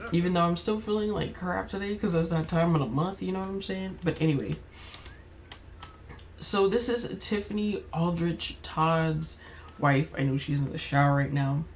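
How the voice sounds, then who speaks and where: A young woman with a slightly raspy voice, chatting casually while playing a life-sim game, rambling with many fillers and restarts. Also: on the low side, normal speed, a little hiss or hum. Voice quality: slightly raspy, keeps clearing throat. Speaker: gaming YouTuber. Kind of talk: casual gameplay commentary.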